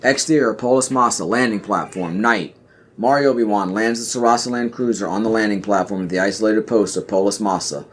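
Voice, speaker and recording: A man's voice: on the low side, fairly quick. Man narrating a script over faint noise. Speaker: podcast narrator. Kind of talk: scripted narration of screenplay directions.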